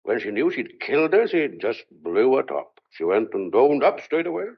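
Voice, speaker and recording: An actor playing a gruff but kindly, exasperated police sergeant in a thriller audio drama, with a blunt, coaxing, colloquial way of talking. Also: male voice, mid-range voice, sing-song, normal speed, muffled recording, full character voice. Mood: gossipy, explanatory. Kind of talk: old-fashioned thriller audio drama acting.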